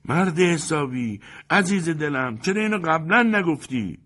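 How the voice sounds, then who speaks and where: A man voicing an excitable, smug groomsman in a story, loud, effusive and insistent. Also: a little high, pitched above the performer's own voice. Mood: flustered. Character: excitable groomsman, smug bully turned flustered, pleading flatterer.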